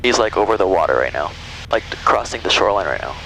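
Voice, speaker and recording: A man's voice: sits low, animated, quick pace, creaky, bright, forceful tone, rough recording. A man working the radio as a pilot, giving clipped readbacks and casual asides over a headset in a small plane. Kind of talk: aviation radio readbacks and cockpit chat. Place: small airplane cockpit in flight. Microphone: aviation headset. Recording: cockpit intercom with engine noise.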